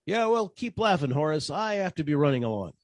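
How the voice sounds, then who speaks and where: An actor playing a wry, hard-boiled private detective in a 1940s-style radio caper, with a sardonic delivery. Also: male voice, on the high side, big pitch swings, a little fast, dry recording, clean studio sound. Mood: wry, polite.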